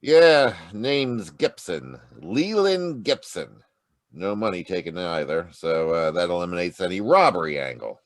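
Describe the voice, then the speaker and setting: A man's voice: low voice, very animated, measured pace, no room sound, studio quality. A man playing a short-tempered police lieutenant in an old-time radio detective drama, grumbling and blustering. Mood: gruff, businesslike. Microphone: video call.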